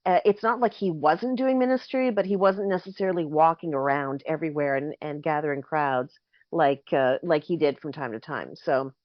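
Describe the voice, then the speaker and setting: A woman with a fairly low, slightly hoarse voice lays out her points patiently, often circling back to rephrase. The sound is dry and close with no room around it. The recording is a little dull and lo-fi. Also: moderate pace, no room sound. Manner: explains at length, thinking aloud with frequent self-corrections. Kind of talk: casual explanatory chat.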